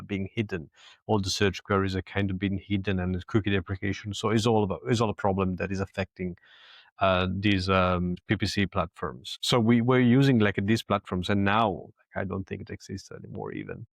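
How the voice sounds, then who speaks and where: A man in his thirties from Italy, speaking English with an Italian accent touched by British, talking haltingly with many restarts as a podcast guest. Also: deep voice, gravelly, no room sound. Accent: Italian with British influence. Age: 30s. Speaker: podcast guest, agency COO. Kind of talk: casual interview talk.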